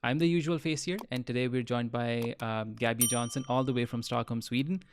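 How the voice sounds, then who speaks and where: A man's voice: medium pitch, fairly even intonation, a little fast, background noise. A man hosting an interview podcast over a video call, asking questions with many hesitant ums and uhs. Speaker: podcast host.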